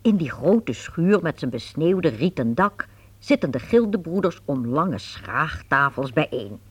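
A narrator telling a radio play story in a lively, descriptive manner. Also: mid-range voice, unhurried, husky, no echo.

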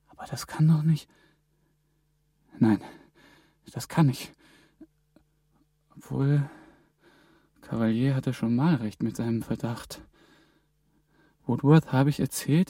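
A man's voice: medium pitch, mellow, breathy edge, no echo, clean studio sound. A man playing a young male EU intern on the run, talking in tense, often whispered asides in a radio crime drama. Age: young adult.

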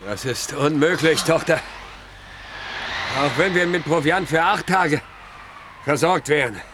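A man's voice: fairly high pitch, natural voice. Man acting a solemn, weary older chief, noisy recording. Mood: weary, grave. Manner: solemn, measured, declamatory. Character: proud, weary older Native chief and father. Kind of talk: German radio play acting. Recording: clearly noisy old radio recording.

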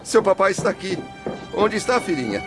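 An actor playing an anxious, sentimental former merchant in a radio drama, theatrical and emotional. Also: male voice, sits high, sing-song, a little fast, over music. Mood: tender, longing.

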